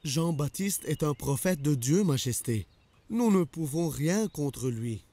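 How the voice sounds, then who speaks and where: A man playing a respectful, pious servant in an animated religious drama, earnest and deferential. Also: a little high, a little slow, no room sound. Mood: deferential, grave.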